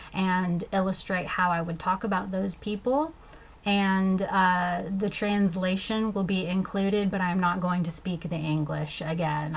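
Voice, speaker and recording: A woman from the States, teaching basic phrases as a beginning learner, pronouncing words slowly and deliberately. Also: lowish voice. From southern United States. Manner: careful, deliberate pronunciation with fillers. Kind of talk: slow instructional explanation.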